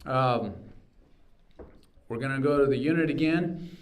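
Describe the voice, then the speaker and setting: A man's voice: mid-range voice, unhurried. A man working as an auctioneer, calling bids in a repetitive, rhythmic chant and explaining the bidding plainly to the room.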